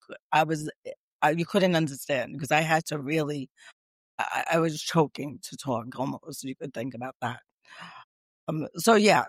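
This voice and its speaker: A middle-aged woman with a raspy, strained voice, talking eagerly and emphatically as a guest on a podcast interview. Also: deep voice, dry recording. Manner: talkative, emphatic and repetitive. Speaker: guest, speech pathologist.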